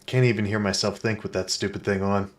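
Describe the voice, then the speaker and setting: Man playing low-voiced self-important private detective. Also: flat delivery, fairly quick, lightly acted. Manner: melodramatic first-person noir narration. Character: self-important hard-boiled private detective, earnest and dim. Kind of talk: comedic audio drama acting. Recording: music bed under the voice.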